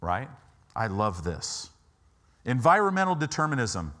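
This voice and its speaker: Male pastor, 50s, American, medium pitch. Conversational, emphatic preaching on a church stage with a lapel mic. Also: very animated, measured pace, a bit bright. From United States, Chicago and Southern California. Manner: emphatic, repeats phrases for stress, rhetorical check-ins. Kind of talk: conversational sermon. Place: church auditorium stage, indoors.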